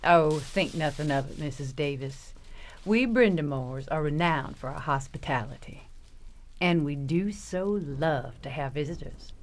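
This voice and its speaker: A woman's voice: sits low, lively intonation, measured pace. A woman playing a genteel Southern hostess in an audio drama, folksy and evasive, sweet with menace underneath. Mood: sweetly gracious. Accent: American South.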